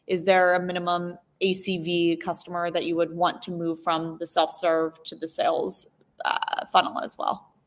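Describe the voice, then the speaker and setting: A woman's voice: on the low side, completely flat delivery, moderate pace. Informal, enthusiastic woman interviewer. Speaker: interviewer hosting an online business discussion. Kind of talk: conversational interview.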